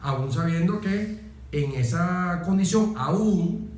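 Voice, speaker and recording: A man's voice: high voice, expressive, takes it slowly, darkish tone. A middle-aged Venezuelan man, a military officer, speaking as an interview guest and telling personal stories emphatically, often repeating phrases. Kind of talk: conversational personal storytelling. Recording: very echoey room.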